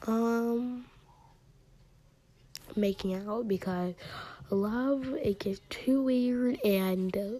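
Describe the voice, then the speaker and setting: Slow, mellow-voiced teenage girl vlogger. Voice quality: high-pitched voice. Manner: rambling, drops into soft near-whispers for asides. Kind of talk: casual chat, reading out questions and answering them.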